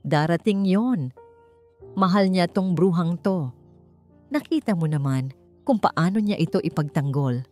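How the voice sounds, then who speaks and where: A woman's voice: lowish voice, expressive, a little slow, pauses often, mellow. A menacing male schemer in a storybook drama, read by a woman, taunting and bossy. Faint music plays behind the lines. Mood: scornful.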